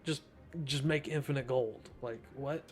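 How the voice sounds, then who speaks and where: Excitable young male game streamer. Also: mid-range voice, unhurried, soft background music. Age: young adult. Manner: excitable, slangy, joking. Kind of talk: casual gameplay commentary.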